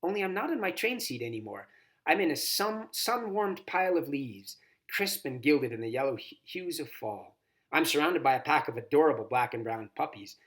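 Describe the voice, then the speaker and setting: A man's voice: fairly high pitch, animated, moderate pace, fairly bright tone. A man reading a children's novel aloud expressively, as a volunteer story reader.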